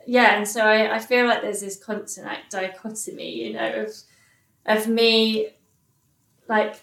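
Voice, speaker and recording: A woman's voice: on the high side, measured pace, gravelly, distant mic. Woman telling a story, echoey room. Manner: animated, many restarts and laughs. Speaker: guest, occupational psychologist. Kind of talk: candid personal storytelling. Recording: roomy echo, a bit off mic.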